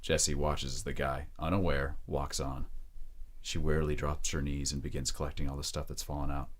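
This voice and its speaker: Very deep-voiced male drama narrator. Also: flat delivery. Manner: measured, descriptive reading. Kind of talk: scripted audio drama narration.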